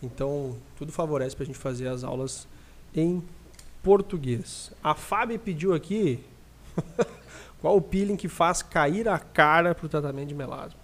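A man's voice: on the high side, a little slow, a bit bright. A man with a Brazilian accent hosting a casual live podcast, speaking informally and directly to listeners as he reads their questions. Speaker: podcast host reading audience questions.

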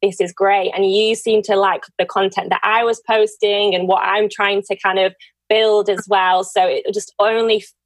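A woman hosts a casual podcast conversation at a medium pitch. She is effusive and encouraging with her guest. The sound is dry, with very little room around the voice. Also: level tone, moderate pace, no echo. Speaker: podcast host.

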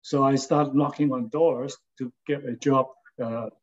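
An older man from Hong Kong, an architect recalling his career in a halting, casual way, often checking in with "okay?". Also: medium pitch, fairly even intonation, highs cut off. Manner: halting, many fillers and restarts, tags with "okay?". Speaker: interviewee, architect and former design lecturer. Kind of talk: casual spoken reminiscence.

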